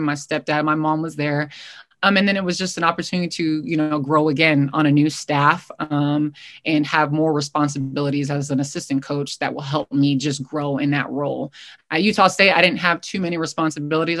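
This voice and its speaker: A woman's voice: sits low, fairly bright tone, no room sound, studio quality. A young American woman speaking as an interview guest, reflecting earnestly on a coaching career in a conversational way. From Hawaii, United States.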